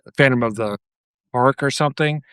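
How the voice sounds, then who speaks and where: A man's voice: mid-range voice, fairly quick, fairly bright tone, clear, resonant tone, dry recording, studio quality. A middle-aged man on a podcast, casually reminiscing about pop culture. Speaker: podcast guest.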